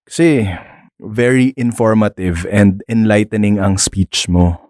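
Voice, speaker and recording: A shy young Filipino man in a radio drama, polite and smitten. His delivery is hesitant and halting. The voice is medium in pitch and recorded dry and close. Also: darkish tone, no room sound. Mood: admiring. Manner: hesitant, halting, nervous chuckles.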